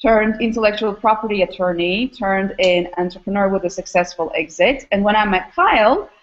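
A middle-aged woman from Istanbul, Turkey, giving explanatory interview answers as a guest, in measured, academic phrasing. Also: mid-range voice, dull audio. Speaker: interview guest, startup founder and former academic.

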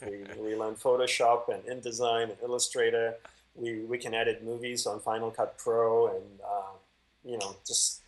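A man speaking at a middle pitch, unhurried and measured, as he answers interview questions over a video call. His telling is calm and even. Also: rough recording. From Malaysia. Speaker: podcast guest.

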